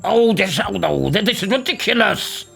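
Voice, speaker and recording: A man voicing a scatty, childish, excitable male storybook eccentric who stammers and repeats words frantically. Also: high voice, moderate pace, creaky, soft background music, voice pushed up, exaggerated voice. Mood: petulant.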